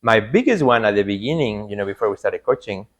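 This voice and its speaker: A man with a Mexican Spanish accent and a somewhat low voice, speaking English as a second language. He talks earnestly in a relaxed interview, circling back on his words with frequent "you know"s. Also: fast. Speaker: podcast guest, artist coach. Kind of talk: casual interview talk.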